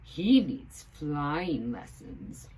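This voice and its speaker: A woman voicing an eager, indignant male talking hat in a children's story, exclaiming in slow, emphatic words. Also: low-pitched, very animated, a little mellow, husky, heavily acted, rough recording. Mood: dismayed.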